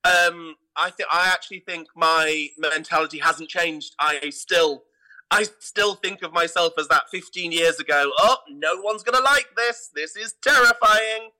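A middle-aged English man with a very bright, forward voice that sits fairly high. He tells anecdotes as an interview guest, leaning on key words and repeating them for emphasis. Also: unhurried, low-quality recording. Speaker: theatre company artistic director, interview guest. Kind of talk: animated anecdotal interview talk.

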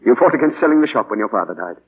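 A man's voice: mid-range voice, expressive, rapid-fire, breathy edge. A man playing a doting, idealistic father and novelist in 1940s radio drama, speaking earnestly and theatrically, hesitant when moved. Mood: pleading, bitter. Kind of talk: 1940s radio drama acting with diary narration. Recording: muffled old 1940 radio broadcast, music behind.

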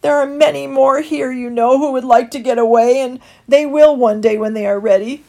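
A woman's voice: sits high, steady tone, normal speed, dark tone, pitched above the performer's own voice. Woman voicing Heathly, a stammering nervous young rat. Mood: anxious, pleading. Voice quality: stammering, tight with emotion.

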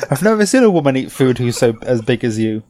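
A man hosting a casual podcast, giggly and rambling, his voice going hoarse. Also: medium pitch, very animated, fairly quick, a little mellow. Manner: giggly, rambling, self-interrupting. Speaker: podcast host. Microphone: home microphone.

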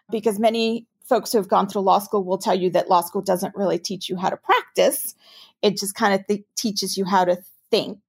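New York-accented woman, measured explainer. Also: medium pitch, gravelly. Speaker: chief ethics and compliance officer. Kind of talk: interview answers.

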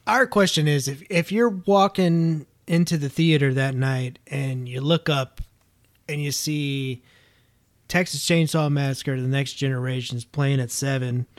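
A man's voice: medium pitch, no echo. A man co-hosting a podcast, laughing and asking playful questions. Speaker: podcast co-host. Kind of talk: casual podcast banter.

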